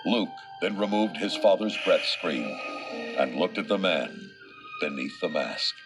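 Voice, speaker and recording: A man narrates an adventure storybook in a somewhat low voice. His scripted delivery is dramatic and suspenseful. Loud music plays behind him. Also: expressive, unhurried, vocal fry.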